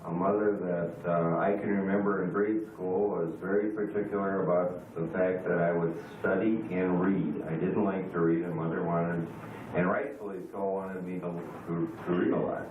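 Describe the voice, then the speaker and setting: A man of sixty-six recalling his childhood in a halting, reflective way for a family oral history. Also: low-pitched, steady tone. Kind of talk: recorded oral history reminiscence. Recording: tinny, echoey, distant, noisy home recording.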